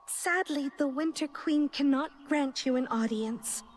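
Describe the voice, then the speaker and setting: A woman's voice: high voice, a little slow. A woman voicing a small, mischievous yet earnest female faerie in a fantasy game, with a lilting theatrical delivery. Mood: grave, earnest.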